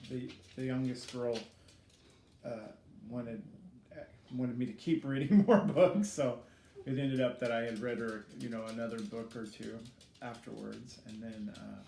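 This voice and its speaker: A middle-aged man giving casual, reflective interview answers in a hesitant, halting way, as a student teacher being interviewed. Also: medium pitch, creaky, warm tone. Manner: hesitant, halting, self-critical. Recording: echoey room with faint background noise.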